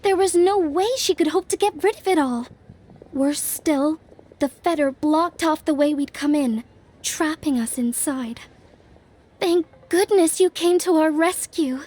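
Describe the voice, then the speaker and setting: An actress voicing an earnest, thoughtful young woman in a fantasy video game, speaking in a measured, polite, slightly hesitant way. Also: sits high, a little mellow. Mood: recounting, relieved. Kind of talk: video game voice acting, conversational storytelling.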